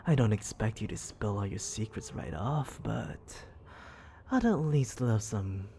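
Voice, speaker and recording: A man voicing a menacing, teasing demon lord in an ASMR roleplay, breathy and often dropping to a whisper, condescending and coaxing toward a captive. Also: on the low side, animated, noisy background. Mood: intrigued, probing. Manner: slow, taunting, condescending, coaxing.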